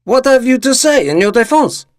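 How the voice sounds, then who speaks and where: A man's voice: extremely high pitch, very animated, moderate pace, no room sound, studio quality. An actor playing a pompous, amused male village mayor who questions people incredulously in an audio drama of a short story. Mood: stern.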